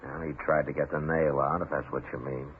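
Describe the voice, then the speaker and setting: An actor playing a steady frontier marshal with dry humor in an old-time radio western, also narrating. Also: male voice, a bass voice, fairly quick. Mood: grave, firm. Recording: noisy, narrow-band old radio recording.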